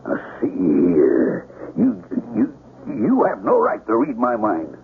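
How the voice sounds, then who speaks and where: A blustering radio drama boss with a very deep, gravelly voice. He sputters indignantly, pitch lurching about, on a narrow vintage broadcast recording. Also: huge pitch swings, slow pace, exaggerated voice. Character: blustering, flustered male boss, middle-aged. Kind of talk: old-time radio drama acting. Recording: vintage radio broadcast, narrow phone-like sound, faint noise.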